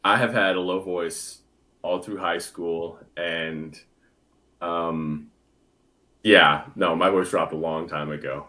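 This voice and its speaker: A young man and bass singer, a guest chatting casually in an interview, easygoing and full of filler words. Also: steady tone, slow, bright, forceful tone. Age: young adult.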